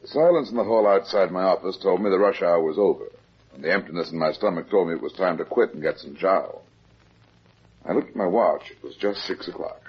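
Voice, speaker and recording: An actor playing a wry, heavyset private detective, dry and laconic, in a 1950s radio noir drama. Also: male voice, deep voice, brisk, husky. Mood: weary, reflective. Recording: muffled vintage radio recording.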